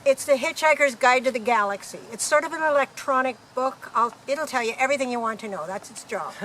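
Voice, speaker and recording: A woman's voice: high voice, fairly quick, a bit bright, a little hiss or hum, low-quality recording. A woman playing a breezy, knowing galactic hitchhiker in a radio play, explaining things in a matter-of-fact way. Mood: helpful. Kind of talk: amateur radio play acting.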